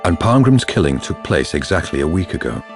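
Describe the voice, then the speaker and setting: An actor as the dry, wry narrator of a detective radio drama, reading scripted narration. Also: male voice, low voice, very animated, brisk, gravelly, full character voice. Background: music.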